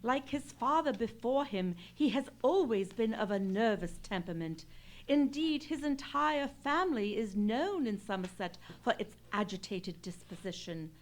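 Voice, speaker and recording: A woman plays an eloquent noble English wife in an old-time radio mystery. Her voice is high and very animated, with formal period phrasing and an anxious, devoted temper. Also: normal speed, close to an everyday voice. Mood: anxious, pleading. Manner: formal, theatrical, period diction. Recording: live theatre stage radio play.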